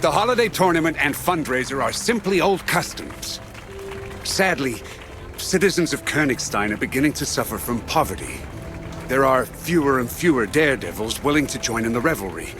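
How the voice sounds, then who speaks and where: An actor playing a worried, courteous town official in a medieval fantasy game, speaking in a formal, wistful manner. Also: male voice, mid-range voice, animated, moderate pace, over music, close to an everyday voice. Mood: courteous, earnest. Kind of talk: video game voice acting.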